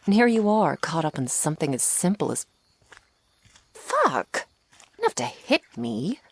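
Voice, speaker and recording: A woman playing a teasing, menacing century-old female vampire in an intimate ASMR roleplay, sardonic and unhurried, sometimes slipping toward a whisper. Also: low-pitched, wildly swooping pitch, breathy edge, exaggerated voice. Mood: incredulous, mocking.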